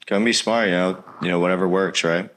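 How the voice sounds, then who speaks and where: Young male interview host, low pitch, loose jokey delivery, studio lapel sound. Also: steady tone, quick pace, a bit bright, a little hiss or hum. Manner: joking, loose. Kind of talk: casual interview. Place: rehearsal studio set.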